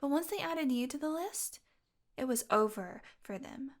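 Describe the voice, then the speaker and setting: A woman playing a possessive fantasy healer in an audio roleplay, tender and secretly bloodthirsty, speaking softly and deliberately. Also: sits high, expressive. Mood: confessing, cold. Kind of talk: intimate audio roleplay monologue.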